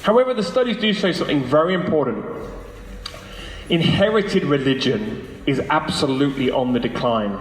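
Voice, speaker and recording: A man's voice: a little high, lively intonation, moderate pace. A man speaking persuasively as a guest lecturer to a live audience, using rhetorical questions and emphatic phrasing. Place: large echoey room, lunchtime public talk. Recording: echoey hall with long reverb.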